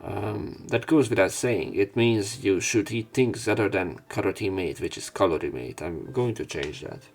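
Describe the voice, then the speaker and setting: A man's voice: low-pitched, normal speed, gravelly. A man hosting a let's play, reading lines aloud and commenting casually with informal, wry asides. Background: game music.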